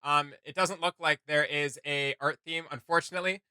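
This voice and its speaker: Bright, clear-voiced man reacting casually. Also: on the high side, steady tone, normal speed, dry recording, studio quality. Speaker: reaction video host.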